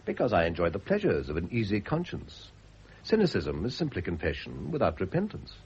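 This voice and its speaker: British gentleman, cynical, epigrammatic, deep, radio drama, muffled noisy old recording. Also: huge pitch swings, brisk, exaggerated voice. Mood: cynical, philosophising. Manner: urbane, ironic, epigrammatic. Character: cynical, witty, well-off husband, urbane and self-indulgent. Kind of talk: classic radio drama acting.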